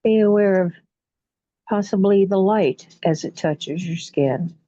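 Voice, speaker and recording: A woman leading a guided relaxation meditation in a soothing, unhurried manner, leaving long pauses between short phrases. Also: mid-range voice, warm tone, dry recording. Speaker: guided imagery facilitator. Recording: muffled, dull lo-fi audio.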